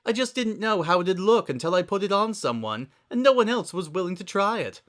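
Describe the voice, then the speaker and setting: Man voicing a high, refined, theatrical young lady. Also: expressive, fairly quick, a bit bright. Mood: gracious. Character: refined, dramatic, kindly teenage fashionista girl.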